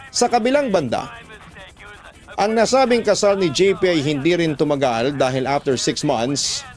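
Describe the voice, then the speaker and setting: A man with a Filipino accent reading a listener's love letter as a scripted first-person radio narration. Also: medium pitch.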